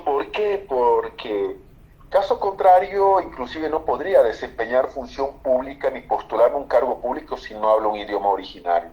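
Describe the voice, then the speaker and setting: A man's voice: medium pitch, darkish tone, dull audio. A man from Bolivia, a lawyer, explaining constitutional law emphatically on a political talk show. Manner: emphatic, explanatory, repeats for stress.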